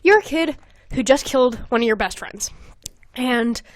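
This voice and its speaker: A woman's voice: sits high, animated, moderate pace, dry recording. A young adult woman hosting a podcast, casually reading old fan fiction aloud with giggly, sweary comic asides. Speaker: podcast host.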